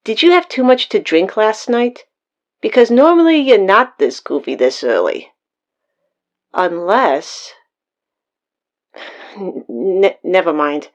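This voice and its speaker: A woman's voice: mid-range voice, animated, slow, pauses often. A woman acting a worried, no-nonsense sister in an audio drama phone call. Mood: concerned.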